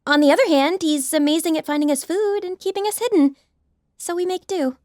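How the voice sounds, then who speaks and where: An eager young college woman, in an audiobook drama. Her voice sits high, with a bubbly, chatty, earnest manner and a close, dry sound. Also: fairly quick, a little mellow, no room sound. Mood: friendly, eager. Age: young adult.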